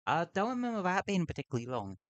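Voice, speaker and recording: A man's voice: high voice, huge pitch swings, fast talker, a little hiss or hum. A man streaming a video game, chatting casually and trailing off into fillers as he plays. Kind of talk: casual gameplay commentary.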